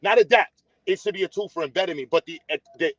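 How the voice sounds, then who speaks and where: A blunt, teasing man talks straight to his viewers on a casual livestream. His voice sits high and carries no room echo, picked up dry and close. The sound is dull and lo-fi. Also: brisk, a bit bright. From United States. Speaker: livestream host.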